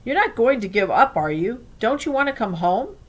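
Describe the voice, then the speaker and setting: A woman's voice: on the high side, big pitch swings, moderate pace, pitched above the performer's own voice. A woman voicing a bossy, disapproving male relative in a storybook, insistent and nagging. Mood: pushy.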